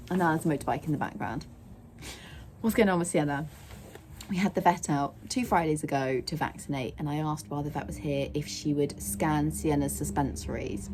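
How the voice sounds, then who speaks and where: A young woman with a croaky voice, chatting casually in a rambling way as she vlogs about her horse. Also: on the low side, a little mellow, slight background noise. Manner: chatty and rambling, laughs often. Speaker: vlogger, horse owner. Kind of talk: casual vlog chat. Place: outdoors at a stable yard, then in a car.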